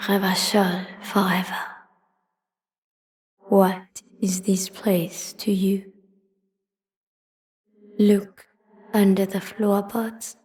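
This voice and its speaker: A woman voicing an ancient, solemn city spirit in a video game, intimate and prophetic, in a grave breathy whisper. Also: mid-range voice, a little mellow. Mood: mystical, solemn. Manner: slow, incantatory, grave. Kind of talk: scripted video game voice acting. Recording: long cavernous reverb.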